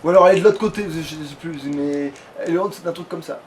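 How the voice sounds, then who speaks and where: A fairly high-voiced man chats casually through a video game as he plays, loosely and with swearing. He sits away from the mic, with some noise around him. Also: racing, a little mellow. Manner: informal, swearing. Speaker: let's player. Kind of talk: casual gameplay commentary. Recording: distant mic, clear background noise.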